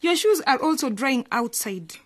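A woman's voice: sits high, expressive, normal speed, slight background noise. A woman playing a sweet, cheeky twelve-year-old girl in a radio drama. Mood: disgusted, teasing.

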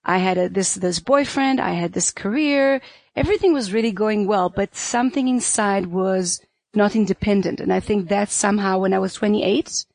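Interview guest: woman, 40s, from Israel; earnest, halting, filler-heavy speech, dry close recording. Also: mid-range voice, darkish tone, no echo. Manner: earnest, searching for words, many fillers. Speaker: guest, pleasure activist. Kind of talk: reflective interview answers.

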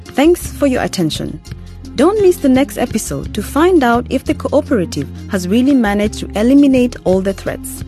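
Female announcer for scripted radio outro; mellow, expressive, over light music. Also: a little high, moderate pace.

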